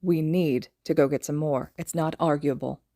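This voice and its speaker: Woman acting out blunt stubborn man, terse, dry manner, low pitch, close dry storybook recording. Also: steady tone, moderate pace, warm tone, no echo. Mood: stubborn, curt.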